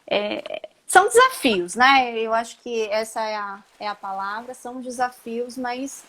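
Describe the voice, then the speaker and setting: Chatty São woman, fairly high. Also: a little slow. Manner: chatty, relaxed, many tag questions and restarts. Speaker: chemistry professor, guest. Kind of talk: casual interview on a live stream.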